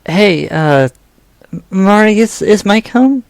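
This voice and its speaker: Male actor as kindly hesitant friend, creaky, lively intonation, dry close sound, audio drama. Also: high voice, takes it slowly, dry recording, exaggerated voice. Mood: tentative. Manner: hesitant, tentative. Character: cautious, kindly young friend, tentative and reasonable.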